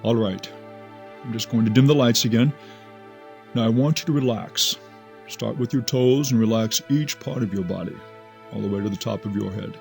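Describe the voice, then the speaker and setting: A man's voice: on the low side, normal speed, vocal fry, long pauses, a little mellow, faint music behind. An actor playing a careful, commanding hypnotherapist in an audio drama, a man guiding a patient. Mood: firm, reassuring.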